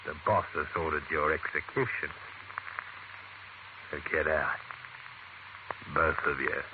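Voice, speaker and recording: A man's voice: extremely low pitch, fairly even intonation, takes it slowly. An actor playing a cold gangster henchman posing as a cab driver, curt and taunting, in an old radio drama. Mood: cold threat. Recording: noisy, phone-like old radio recording.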